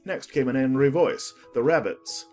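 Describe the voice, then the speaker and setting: Man narrating a storybook with lively intonation. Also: medium pitch, normal speed, faint music behind. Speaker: audiobook narrator.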